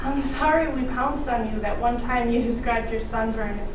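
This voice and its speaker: Young woman doing readers theatre, high voice, slow n theatrical, far from the mic in a noisy hall. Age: young adult. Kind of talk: scripted ensemble stage storytelling. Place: live stage performance in a hall.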